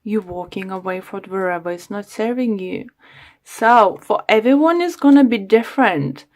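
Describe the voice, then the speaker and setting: A woman giving a casual tarot reading to her viewers, talking in an unhurried, chatty way with many fillers while she is losing her voice. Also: mid-range voice, animated. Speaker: tarot reader.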